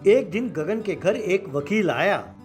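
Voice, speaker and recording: A man's voice: high voice, expressive, slow pace, faint music behind. A man narrating a scripted children's-style story as its narrator.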